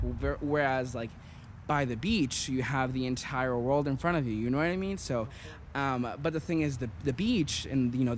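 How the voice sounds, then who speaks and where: A young man from Puerto Rico in his twenties rambles through a casual chat between songs. He talks at a middling pitch, leaning on "like" and "you know", with faint background noise behind him. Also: darkish tone. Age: 20s. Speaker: singer introducing songs.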